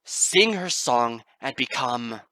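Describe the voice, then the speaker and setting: A man's voice: on the high side, normal speed, pushed and bright, no room sound, voice pushed up. A man voicing an ancient regal goddess queen in an audiobook reading, grave and solemn. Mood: booming.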